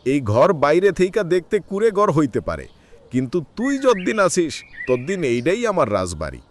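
A man plays a poor, ageing labourer and doting father in a cartoon. His rural Bangladeshi speech is folksy and very animated in pitch. Faint noise with birdsong runs behind it. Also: fairly quick. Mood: tender. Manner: expressive, folksy. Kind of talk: cartoon voice acting.

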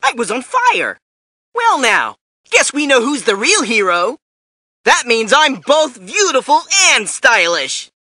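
An actor playing a cocky, excitable young male superhero in a fighting game, brash and showy with shouted catchphrases. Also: very high voice, slow, dry recording, studio quality, full character voice. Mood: triumphant.

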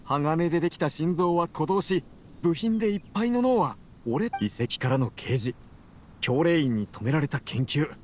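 A man's voice: on the high side, lively intonation, moderate pace, a little mellow, slight background noise, lightly acted. An actor playing a driven but weary researcher in an anime game, speaking earnestly and tenderly to his machine. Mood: determined. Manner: earnest, talking tenderly as if to a companion.

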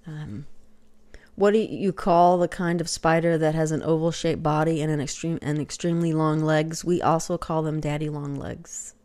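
Middle-aged woman, light Texas accent, lower register, casual self-mocking webcam vlogger at her desk. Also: steady tone, moderate pace, a little mellow. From Texas, USA. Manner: chatty, self-deprecating, filler-heavy, drops to whispers. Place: small home room with shelves, indoors.

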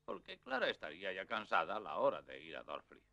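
Un actor de doblaje con acento castellano interpreta a un abuelo montañés severo pero bondadoso, de voz grave y áspera, en dibujos animados. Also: male voice, low voice, huge pitch swings, fast, creaky, bright, forceful tone, highs cut off, exaggerated voice. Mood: firm. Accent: Castilian Spanish. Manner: firm, authoritative. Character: stern but caring old bearded mountain grandfather. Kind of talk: dubbed cartoon acting.

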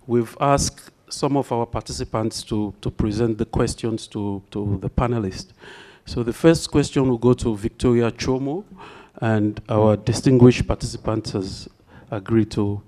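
A man's voice: mid-range voice, fairly even intonation, unhurried, a little mellow. A man moderating a conference panel, formally reading introductions from notes at a podium with a handheld microphone. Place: conference hall.

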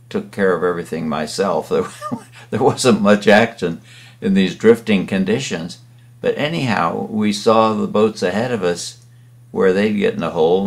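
Older man with a low gravelly voice. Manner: rambling, with fillers and restarts. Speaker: painter and sailor narrating a slideshow. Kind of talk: casual reminiscing narration.

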